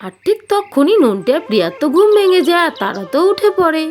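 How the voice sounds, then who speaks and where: Woman telling a kids story, high lively voice, faint music. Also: fast talker. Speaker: narrator. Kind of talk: children's storytelling narration.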